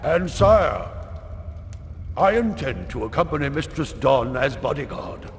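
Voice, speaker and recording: Creaky-voiced dutiful bodyguard. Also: male voice, mid-range voice, big pitch swings, measured pace. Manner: formal, deferential. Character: dutiful, formal bodyguard addressing his lord. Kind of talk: video game voice acting. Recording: faint noise, background ambience.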